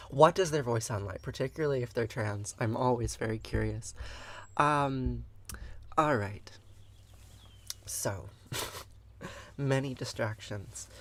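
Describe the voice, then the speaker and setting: A man hosting a live-streamed lesson, explaining scripture in an earnest, chatty way and stopping to answer viewers with fillers and quick laughs. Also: medium pitch. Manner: explanatory, with frequent fillers and restarts. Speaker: livestream host teaching scripture. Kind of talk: live-streamed lesson mixed with chat replies.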